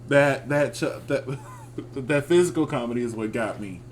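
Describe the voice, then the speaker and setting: A man's voice: mid-range voice, a crowd in the background. Chuckling Texan man, Southern accent. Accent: Texas Southern. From Texas. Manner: laid-back, chuckling, drawn-out reactions. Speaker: podcast co-host. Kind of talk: casual review chat.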